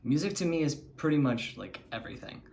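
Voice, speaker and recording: A man's voice: fairly high pitch, expressive, fairly quick, gravelly, a little mellow, a little hiss or hum. A man in his twenties, a band member, speaking in an earnest, heartfelt way in an interview. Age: 20s. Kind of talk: documentary interview.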